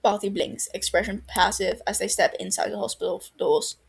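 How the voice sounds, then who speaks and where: A woman's voice: mid-range voice, steady tone, a little fast, creaky, breathy edge. A woman reading fan fiction aloud as scripted story narration.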